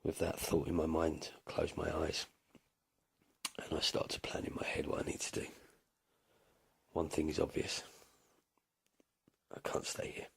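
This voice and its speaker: An actor playing a weary male apocalypse survivor, narrating his escape plans in a husky, hushed, brooding voice. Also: rumbling low, monotone, a little slow, long pauses, exaggerated voice. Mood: brooding, resolute. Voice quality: very gravelly, husky. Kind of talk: dramatic first-person audio drama narration.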